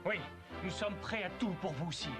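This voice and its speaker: A man's voice: high-pitched, normal speed, close to an everyday voice. A man playing a brave, loyal young outlaw leader in a French cartoon dub, with an earnest delivery. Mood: solemn. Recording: loud music behind the voice.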